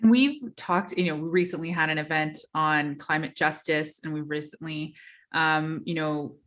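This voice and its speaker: A woman with a lowish voice hosts a podcast interview. She chats warmly in long, winding questions, piling asides and ums on top of each other before landing on the point. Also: steady tone, moderate pace. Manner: chatty, rambling questions with asides. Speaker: podcast host.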